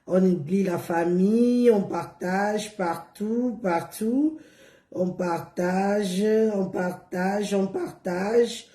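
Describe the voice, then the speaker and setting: A hoarse woman chatting on a live stream, repeating herself and coaxing viewers along with drawn-out words. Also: medium pitch, warm tone, echoey room. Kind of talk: casual live stream chat.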